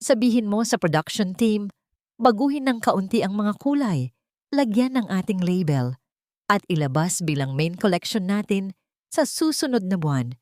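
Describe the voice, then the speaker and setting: A woman with a Filipino accent voicing a scheming, imperious rich elderly matriarch with a sharp, authoritative manner in an audio drama. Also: medium pitch, very animated, normal speed, no echo, studio quality, close to an everyday voice. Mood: cold, ruthless.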